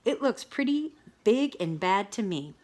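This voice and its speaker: A woman putting on a nervous storybook cow who stammers and hesitates. The voice rises and falls in a lively, childlike way. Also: mid-range voice, a little slow.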